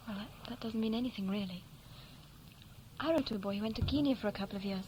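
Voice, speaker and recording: A woman's voice: mid-range voice, fast talker, darkish tone, natural voice. Actress as an inquisitive young woman, faintly noisy recording. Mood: reflective, candid. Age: young adult. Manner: inquisitive, conversational. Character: curious, gently probing young woman visiting from London. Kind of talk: 1960s radio play acting, naturalistic dialogue. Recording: faintly noisy vintage 1960s radio recording.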